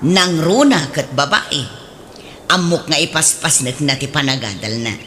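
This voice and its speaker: A proud, chatty village mom. Her delivery is bright and swoops up and down, in a radio drama with a little noise behind. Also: deep voice, expressive, unhurried. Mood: boastful. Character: chatty, proud, doting middle-aged village mother. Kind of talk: Ilocano radio comedy drama acting.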